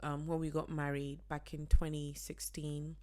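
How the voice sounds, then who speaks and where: This is a woman with a notably low-pitched voice, co-hosting a casual podcast with her husband. She talks in a relaxed, chatty way and laughs easily. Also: moderate pace. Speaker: podcast co-host. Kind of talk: casual chat.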